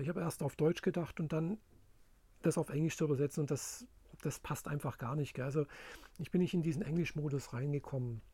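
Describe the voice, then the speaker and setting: Man, casual rambling monologue, lots of ums and restarts, warm mellow tone, dry close sound. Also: fairly high pitch, no room sound. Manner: rambling, halting, many fillers. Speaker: language learner.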